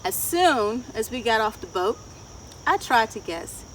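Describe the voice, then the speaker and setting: A middle-aged woman with a fairly high voice reads a children's picture book with expressive, deliberate phrasing. She is recorded outdoors a little way from the mic, with faint insect noise and ambience around her. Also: far from the mic, rough recording. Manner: expressive, asks listeners questions, deliberate phrasing. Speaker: children's storyteller. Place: outdoors in a wooded garden.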